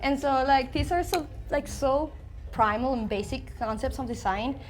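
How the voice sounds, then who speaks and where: A woman's voice: high voice, moderate pace. Woman presenting design basics to a crowd. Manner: casual, with frequent "like". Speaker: design team member presenting. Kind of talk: casual presentation.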